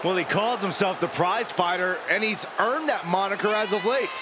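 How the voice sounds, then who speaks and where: An earnest male play-by-play commentator played by a man in a wrestling video game. He calls the action in a high voice over a huge crowd and heavy noise. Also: normal speed, lightly acted. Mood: earnest, intense. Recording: loud arena crowd and noise.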